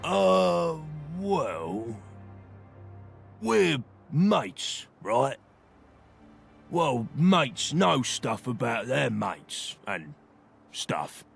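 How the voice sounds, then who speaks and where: A man's voice: mid-range voice, sing-song, very slow, gravelly, long pauses, faint music behind, heavily acted. A man playing an insecure, earnest young brawler in a video game, speaking plainly and hesitantly, stumbling over his words. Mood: awkward, nervous. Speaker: video game companion character. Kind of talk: game voice acting, halting heartfelt dialogue.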